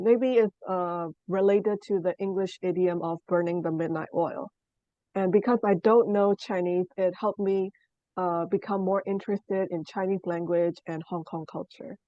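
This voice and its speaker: A young woman from Burma, a native Burmese speaker, giving a student presentation in a measured, explanatory way with frequent pauses. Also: fairly low pitch, no room sound, highs cut off. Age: young adult. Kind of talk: class presentation.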